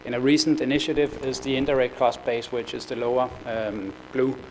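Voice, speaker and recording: A Danish-accented man speaks at a conference in an ordinary mid-pitched voice, stopping often on fillers and repeated words. A light hiss of background noise sits under his talk. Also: level tone, a little fast, a little mellow, low-quality recording. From Denmark. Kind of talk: business presentation. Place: conference room.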